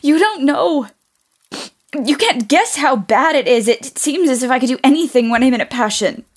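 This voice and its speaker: Actress as passionate teen daughter who blames herself, high voice, earnest and intense, period audio play. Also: female voice, expressive, normal speed. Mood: troubled, earnest. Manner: intense, confessional. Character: passionate, self-reproaching teenage tomboy daughter.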